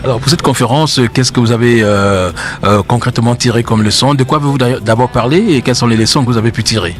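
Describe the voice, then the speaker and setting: A man's voice: medium pitch, fast. Man reporting with formal, flowery phrasing. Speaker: event reporter interviewing exhibitors. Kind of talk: on-location interview.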